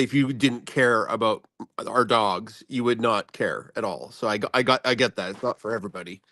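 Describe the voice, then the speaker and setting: A man speaking as a guest in a casual chat, explaining things in a halting, self-effacing way. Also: medium pitch, fairly bright tone, dry recording, clean studio sound. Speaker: guest speaker, science teacher and content creator.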